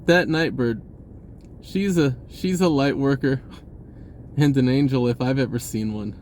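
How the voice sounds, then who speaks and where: A man's voice: medium pitch, unhurried, a little mellow, a little hiss or hum. A man talking casually about spirituality in a rambling way, repeating words and swearing offhand. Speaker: spiritual vlogger.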